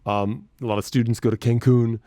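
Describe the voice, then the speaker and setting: A man's voice: medium pitch, little pitch movement, fairly quick, mellow, no room sound. A man hosting a radio show, chatting casually in a studio, laughing easily and telling stories.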